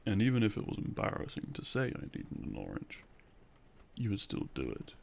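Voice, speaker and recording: A man playing a loving, gently teasing boyfriend in an intimate ASMR roleplay, speaking softly and close to a binaural microphone. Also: on the low side, wildly swooping pitch, a little fast, creaky, exaggerated voice. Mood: tender, reassuring. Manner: soft, hushed, close and coaxing. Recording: close binaural recording, faint noise.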